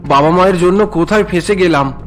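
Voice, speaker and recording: Man playing a high-pitched, resentful young groom. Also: fast talker, soft background music, close to an everyday voice. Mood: bitter. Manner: whiny, indignant, protesting. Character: resentful young city-working son, unwilling groom.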